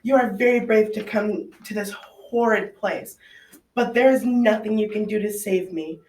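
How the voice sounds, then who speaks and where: A woman's voice: mid-range voice, normal speed, darkish tone, close to an everyday voice. An echoey, slightly noisy recording of a frightened young captive played by a teenage girl. She sounds earnest and anxious, a little away from the mic, as in a folktale radio play. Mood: startled, resigned. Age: young teen. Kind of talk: school radio drama acting. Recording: echoey room, distant mic, faint noise.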